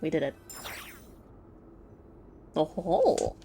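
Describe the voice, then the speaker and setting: A woman's voice: low-pitched, huge pitch swings, slow pace, dark tone, soft background music. A woman streamer giving short, murmured, casual reactions as she plays a game.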